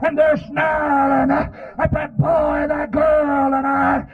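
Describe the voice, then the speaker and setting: A man preaching a fervent revival sermon in a raspy, strained voice, pleading and often shouting, punctuating his phrases with amens. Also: very high-pitched, little pitch movement, takes it slowly. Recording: dull, lo-fi sermon recording.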